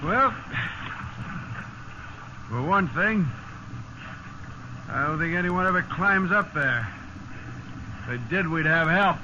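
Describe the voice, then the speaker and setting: Tired castaway, muffled old radio. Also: male voice, a little high, animated, slow pace, pauses often, pushed and bright, a little hiss or hum, exaggerated voice. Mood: weary. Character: tired, deferential male castaway in a lifeboat. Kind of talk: 1950s radio drama acting. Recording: dull old radio, sea ambience.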